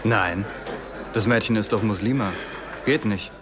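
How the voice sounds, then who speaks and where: An actor playing a brisk, matter-of-fact male consular aide in a radio drama. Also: on the low side, lightly acted. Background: music.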